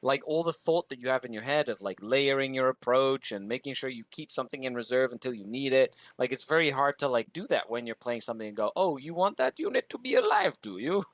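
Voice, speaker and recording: A man's voice: medium pitch, sing-song, fast talker, hardly any pauses, fairly bright tone, no room sound. A man co-hosting a casual gaming discussion, chatty and full of fillers like "you know" and "like". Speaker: podcast co-host. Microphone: studio mic.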